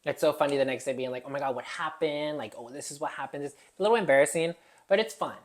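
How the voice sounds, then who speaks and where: A young woman in her twenties with a Texas accent talks about her nightlife job in a loose podcast chat. Her delivery is animated and chatty, and she laughs easily. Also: deep voice, quick pace. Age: 20s. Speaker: podcast guest, bartender. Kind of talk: casual chat.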